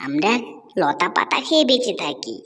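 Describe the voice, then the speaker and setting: A woman's voice: on the low side, sing-song, normal speed, fairly bright tone. A woman voicing a grumbling animal in a cartoon, complaining in an exclamatory way. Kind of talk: Bengali cartoon character dubbing. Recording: long hall-like reverb.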